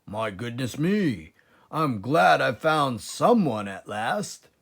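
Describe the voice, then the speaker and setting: A man voicing an anxious, excitable father badger in a storybook, desperate and dramatic in manner. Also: mid-range voice, slow, creaky, husky, full character voice. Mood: relieved. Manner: dramatic, exclamatory, fretful.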